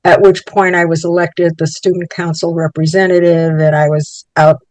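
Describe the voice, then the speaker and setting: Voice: medium pitch, flat delivery, normal speed, no echo, low-quality recording. A person in their eighties, Yiddish-speaking from birth, thoughtfully recalling a childhood as a Holocaust survivor. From Poland. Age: 80s. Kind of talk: personal recollection for a documentary.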